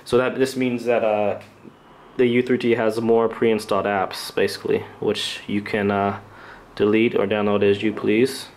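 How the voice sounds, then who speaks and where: A man's voice: fairly low pitch, level tone, darkish tone, slight background noise. Hesitant man reviewing gadgets off the cuff. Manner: hesitant, halting, off-the-cuff delivery. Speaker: tech reviewer and channel host. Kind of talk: unscripted product review commentary.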